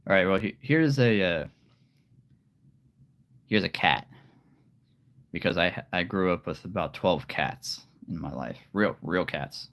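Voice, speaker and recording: A man with a very deep voice chatting casually on a live stream, relaxed and rambling. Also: measured pace, creaky, pauses often. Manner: relaxed, rambling, laughs often. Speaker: live streamer and hobby voice actor.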